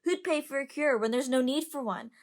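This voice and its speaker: A woman's voice: high voice, brisk, close to an everyday voice. A woman in an amateur audio drama playing a curious, outspoken young passenger who chats and lectures. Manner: chatty, lecturing.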